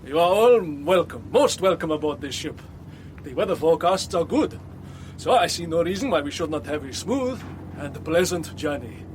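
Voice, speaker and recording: An actor playing a courteous, dependable ship's captain in a radio drama, speaking formally and obligingly. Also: male voice, a little high, expressive, normal speed, a little hiss or hum, lightly acted. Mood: courteous, welcoming.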